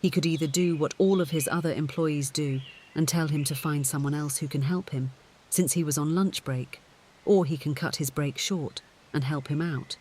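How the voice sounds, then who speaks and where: A man narrates scripted fiction in a voice pitched a little high. Faint outdoor-like ambience and bird sounds sit behind his audiobook reading. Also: steady tone, moderate pace, darkish tone, a little hiss or hum.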